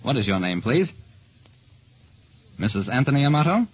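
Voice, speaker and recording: A man's voice: mid-range voice, very animated, normal speed, slightly rough, no echo, muffled recording. A man narrating and hosting in 1940s radio style, on an old radio recording. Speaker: detective show star and quiz host.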